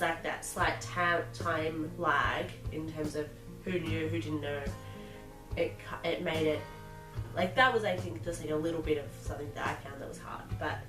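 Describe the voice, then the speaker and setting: A woman from rural Australia with an Australian accent, speaking hesitantly and thoughtfully, with many pauses and fillers, as she tells her personal story. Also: deep voice. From rural Victoria, Australia. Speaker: guest, partner of host. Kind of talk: casual personal account. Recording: echoey room, distant mic, music behind.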